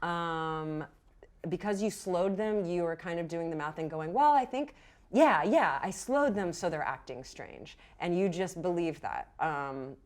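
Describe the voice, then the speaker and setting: A woman's voice: on the low side, measured pace. A woman game master narrating and explaining rules off the cuff in an encouraging way. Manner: encouraging, affirming.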